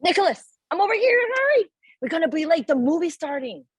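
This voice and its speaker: An actress plays a bossy, mysterious young woman in a table read. Her high voice swoops with energy, brisk and insistent, in a close, dry sound. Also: big pitch swings, dry recording. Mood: urgent, calling.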